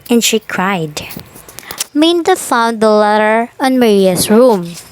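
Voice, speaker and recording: A woman's voice: fairly high pitch, poor audio. A woman reading folktales aloud as scripted narration. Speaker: storyteller.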